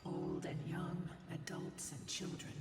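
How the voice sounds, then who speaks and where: A woman voicing a somber, bitter keeper of harsh truths in a video game, with a hushed, grave, cryptic delivery. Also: lowish voice, fairly even intonation, unhurried, close to an everyday voice. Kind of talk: dramatic video game voice acting. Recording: reverberant game audio with music.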